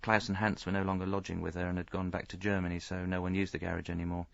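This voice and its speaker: An actor playing a rueful, self-pitying middle-aged husband, narrating his misfortunes deadpan in a radio comedy. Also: deep voice, fast, gravelly, dry recording. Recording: slightly dull audio, faint music.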